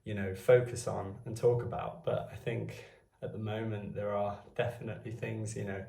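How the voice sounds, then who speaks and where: Low gravelly man musing aloud. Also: little pitch movement, moderate pace, mellow, reverberant, distant mic. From Wales. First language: Welsh. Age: 20s. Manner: meandering, thinking aloud. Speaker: vlogger. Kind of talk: unscripted musing to camera. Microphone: phone.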